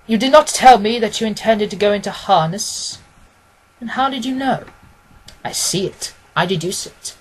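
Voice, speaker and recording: A man voicing a self-assured male detective in an audiobook, keenly observant and gently mocking, with an emphatic, didactic manner. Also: sits high, moderate pace, voice pushed up, low-quality recording. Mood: playful, assured. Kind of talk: dramatised audiobook reading.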